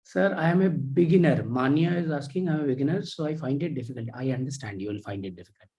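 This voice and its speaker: A man teaching an online history class on a video call, reading out students' chat messages with many pauses and fillers. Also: mid-range voice, warm tone.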